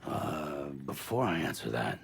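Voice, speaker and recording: An actor playing a weary, wary courier haunted by visions in a video game scene, speaking in terse, halting, low-key lines with a gravelly voice. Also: male voice, sing-song, a little hiss or hum, full character voice. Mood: guarded, uneasy. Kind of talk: video game cutscene acting.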